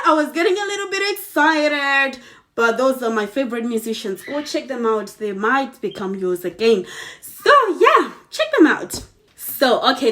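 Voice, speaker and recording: A woman from Zimbabwe with a Zimbabwean accent, a native Shona speaker, chatting casually as a vlogger in an animated, emphatic way that repeats phrases for stress. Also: on the high side. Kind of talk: casual chat to camera.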